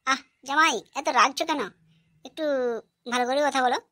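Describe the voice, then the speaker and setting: A woman's voice: high voice, steady tone, moderate pace, pushed and bright, dry recording, studio quality. A woman voicing an exasperated middle-aged mother who scolds and questions in a cartoon comedy.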